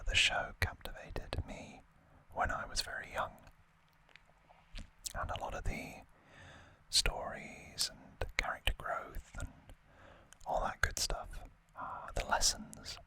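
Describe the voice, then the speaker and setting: A man whispering in a hushed, deliberate manner, as an ASMR host chatting through an unboxing. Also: rough recording.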